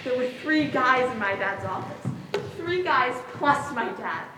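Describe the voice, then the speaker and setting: A woman's voice: high voice, expressive, measured pace, background noise. A woman on stage playing a young girl who recalls a troubling day in plain, childlike storytelling. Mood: uneasy. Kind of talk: theatrical stage monologue. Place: stage in a hall, one-act play performance. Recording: very echoey, distant stage recording with loud music.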